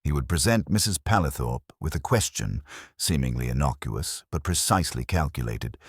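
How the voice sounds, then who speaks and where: A man with an extremely low voice narrates a mystery story. His delivery is formal and measured, yet the pitch moves in a lively way. The sound is dry. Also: slightly rough, no echo. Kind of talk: scripted mystery audiobook narration.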